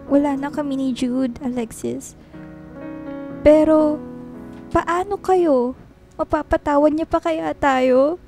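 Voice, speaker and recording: A woman's voice: high voice, takes it slowly, long pauses, a little mellow. A woman playing a remorseful young woman confessing her love in a Filipino radio drama, emotional and confessional. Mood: remorseful, yearning. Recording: clear music under the voice. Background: background music.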